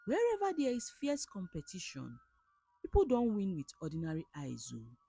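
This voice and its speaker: A woman's voice: medium pitch, huge pitch swings, normal speed, creaky, warm tone, husky, dry recording, faint music behind, pitched above the performer's own voice, exaggerated voice. A woman with a Nigerian accent voicing a sly, worldly male friend in a folktale, insistent and knowing as he persuades. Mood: urging. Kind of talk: storybook folktale character.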